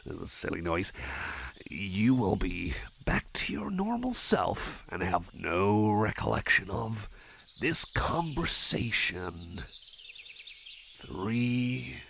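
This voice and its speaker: A man's voice: on the low side, very animated, gravelly, fairly bright tone, slight background noise, heavily acted. A man playing a shy, friendly cyborg chum in an ASMR roleplay, stammering, repeating himself and putting himself down. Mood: slow, soothing. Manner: stammering, halting, repeats phrases, self-effacing. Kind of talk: ASMR roleplay, personal attention.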